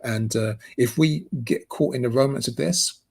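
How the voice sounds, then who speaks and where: Earnest British man with a gravelly voice. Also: mid-range voice, moderate pace, darkish tone. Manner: earnest, hesitant, many fillers and restarts. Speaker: community news co-host. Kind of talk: spoken commentary.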